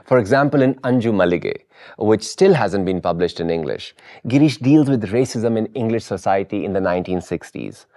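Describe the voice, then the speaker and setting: Low, lively male narrator. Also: normal speed. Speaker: podcast host. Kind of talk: scripted narration.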